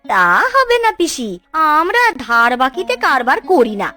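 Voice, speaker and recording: Female actor as village shop wife, high pitch, expressive, chatty, polite yet firm, cartoon dub. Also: a little slow, faint music behind, full character voice. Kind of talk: Bengali cartoon dubbing.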